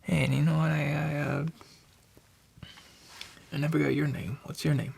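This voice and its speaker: A man playing a gravelly, whispering shady bartender. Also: a little high, flat delivery, moderate pace, exaggerated voice. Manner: soft, often whispered, tough-guy slang. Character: shady male bartender, chatty and nervous. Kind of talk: ASMR noir roleplay.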